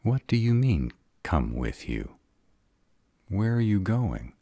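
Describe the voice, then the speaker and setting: A man's voice: fairly low pitch, big pitch swings, takes it slowly, dark tone, dry recording, full character voice. A man playing a sarcastic bad-boy vampire in an audio roleplay drama, drawling, guarded but devoted. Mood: hurt, guarded.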